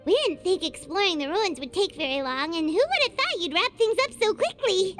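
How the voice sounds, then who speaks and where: A woman voicing a tiny, excitable, nosy female fairy companion in a video game, squeaky, childlike and cheeky. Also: fairly quick, soft background music. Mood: chatty, reassuring. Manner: exclamatory, cheeky, refers to self in third person.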